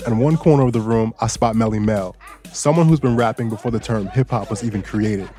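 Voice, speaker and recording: A man hosting a news podcast, narrating a personal story in a relaxed, lightly joking way. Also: lowish voice, brisk, soft background music. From Bangkok, Thailand. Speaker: guest host and audio engineer.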